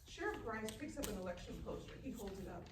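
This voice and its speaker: A woman narrates screenplay stage directions in a medium-pitched voice. It is a live table read, recorded from a distance in an echoey room. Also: sing-song, fast. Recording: echoey, distant room mic with clear noise.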